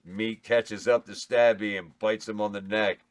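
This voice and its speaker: Low male voice reading script directions, plain and steady. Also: measured pace. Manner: flat stage directions, occasional self-corrections. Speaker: stage-direction reader.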